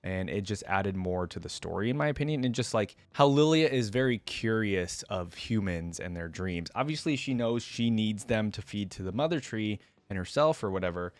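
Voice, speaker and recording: A man's voice: lowish voice, animated, moderate pace, hardly any pauses, dry recording. A young man hosting a reaction video, reading story text aloud and commenting in an informal, musing way.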